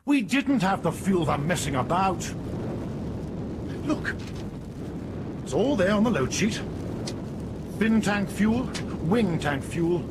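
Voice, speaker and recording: Blunt, indignant English airliner skipper, British accent, highish expressive voice, 1970s radio drama. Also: male voice, slow, long pauses. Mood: exasperated. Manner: exasperated, defiant, blunt. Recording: heavy engine rumble behind old radio recording.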